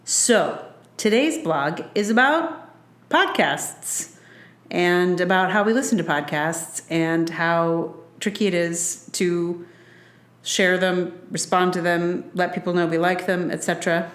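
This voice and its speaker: A woman podcast host reading her own personal essay aloud with measured, deliberate phrasing. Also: fairly low pitch, echoey room.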